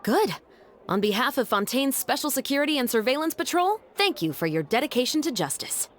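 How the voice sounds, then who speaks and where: A woman's voice: sits high, big pitch swings, brisk. A woman playing a composed, dutiful young patrol officer in a video game, speaking formally and earnestly. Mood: resolute.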